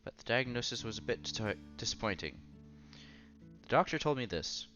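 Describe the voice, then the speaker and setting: A man's voice: mid-range voice, expressive, normal speed, bright, forceful tone, lo-fi sound, faint music behind. A man doing a casual let's-play, reading a visual novel aloud with asides and stumbling over words as he goes.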